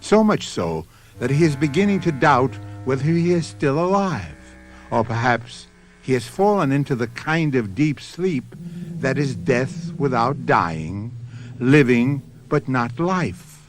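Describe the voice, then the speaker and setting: Slow, dramatic radio drama host. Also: male voice, mid-range voice, lively intonation, slight background noise. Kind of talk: scripted narration.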